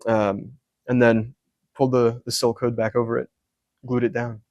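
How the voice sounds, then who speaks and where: Soft-spoken young man, flat even delivery. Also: medium pitch, normal speed, dry recording, highs cut off. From Scotland. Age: young adult. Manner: soft-spoken, many ums, detailed explanations. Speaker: hat maker. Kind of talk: casual interview.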